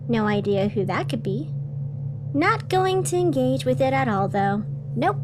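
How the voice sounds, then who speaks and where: A woman acts as a female radio host in a scripted audio drama. Her voice is high and she takes her time, with theatrical pauses over a soft bed of music. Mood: frazzled, wry. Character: sleepless, over-caffeinated female radio host in strange city. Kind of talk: scripted radio fiction narration.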